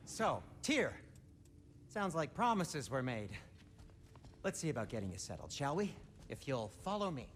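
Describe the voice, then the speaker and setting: A man's voice: mid-range voice, very animated, unhurried, long pauses, fairly bright tone, a little hiss or hum. A man playing a fussy, sardonic but loyal companion in a video game, chatty and theatrical. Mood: brisk, wry. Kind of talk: dramatic video game acting.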